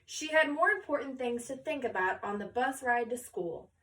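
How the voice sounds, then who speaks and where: High-voiced woman reading a children's storybook. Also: distant mic. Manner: expressive, deliberate phrasing on lists. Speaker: read-aloud storyteller.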